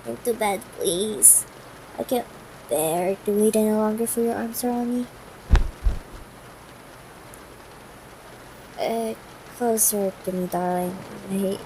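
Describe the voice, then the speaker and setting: A woman playing a tender, yearning, gently commanding CEO ex-girlfriend in an intimate ASMR roleplay, soft-spoken and formal in wording, with hesitations. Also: mid-range voice, close to an everyday voice. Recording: noisy broken-microphone home recording.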